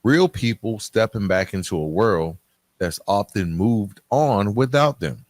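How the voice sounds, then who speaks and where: Low-voiced man, candid podcast host. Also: lively intonation, dry recording, clean studio sound. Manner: candid, conversational, halting with repeated phrases for emphasis. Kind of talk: casual personal storytelling to listeners.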